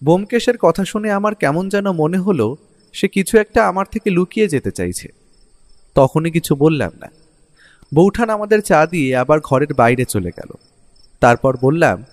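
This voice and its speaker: An actor playing a detective's curious, watchful companion, narrating the case in the first person. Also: male voice, a little high, expressive, normal speed, long pauses, no room sound, natural voice. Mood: curious, reflective.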